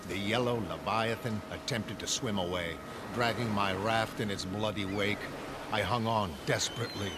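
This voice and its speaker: A man voicing a grim castaway sailor who narrates a macabre pirate tale in a solemn, brooding, deliberate way. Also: fairly low pitch, fairly even intonation, heavy background noise, lightly acted. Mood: grim, anguished. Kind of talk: literary horror narration. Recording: loud music underneath.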